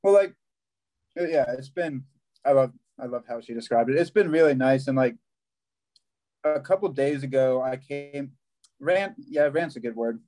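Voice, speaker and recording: Young man, video call, casual chat, mid pitch, repeats and restarts words, laughs easily. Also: lively intonation, normal speed, pauses often, warm tone, rough recording. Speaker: long-distance hiker and vlogger. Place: small room at a table.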